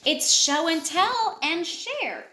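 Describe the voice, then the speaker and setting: A woman reading a children's picture book aloud with an expressive, lilting delivery. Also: very high voice, slow. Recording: echoey room.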